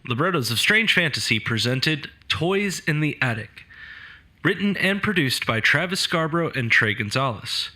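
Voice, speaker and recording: Male announcer, crisp credit read, high-ish pitch with a lively tone. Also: normal speed, low-quality recording. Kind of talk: reading credits.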